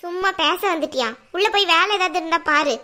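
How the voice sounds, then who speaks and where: A woman's voice: extremely high pitch, quick pace. A woman playing a stern grandmother who scolds the women of the house. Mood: scolding. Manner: scolding, sharp-tongued.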